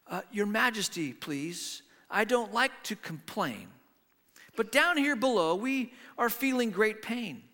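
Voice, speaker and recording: A man's voice: sits high, animated, slow pace, bright, forceful tone, heavily acted. A man voicing a meek, polite little turtle who groans and pleads, in a storybook reading with long hall reverb. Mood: pained, complaining.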